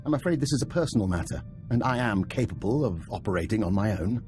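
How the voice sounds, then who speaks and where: Guy doing deep voiced cold scientist doctor, polite but secretive, precise, game cutscene, faint music. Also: expressive, fast talker, darkish tone, close to an everyday voice. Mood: coolly secretive. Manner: precise, formal, detached. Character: coolly calculating male scientist doctor, polite, secretive, self-assured. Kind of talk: video game voice acting.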